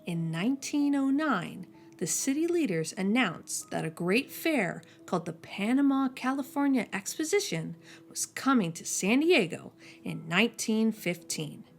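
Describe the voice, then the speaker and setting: Slow, lively woman reading a picture book. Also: mid-range voice, gravelly. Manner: slow, expressive reading that drops soft on each refrain. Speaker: storyteller. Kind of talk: children's picture book read-aloud.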